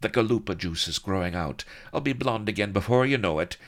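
A man voicing a haughty, flustered young noblewoman in an audiobook, raising his voice high and speaking in mock-archaic phrasing. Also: lively intonation, brisk. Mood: imperious, flustered. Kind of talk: audiobook character reading.

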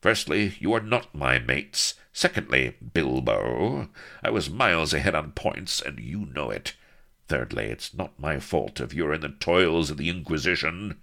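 A man's voice: rumbling low, animated, a little slow, gravelly, fairly bright tone, breathy edge, exaggerated voice. A man voicing a haughty, prim young hero who scolds in a pompous, clipped manner. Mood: indignant.

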